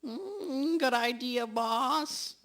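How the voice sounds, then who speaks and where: An actor playing a bumbling, clumsy, eager detective's sidekick with a goofy, halting delivery in a comic radio drama. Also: sits high, animated, a bit bright, heavily acted. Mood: eager, agreeing. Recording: distant mic, noisy live crowd.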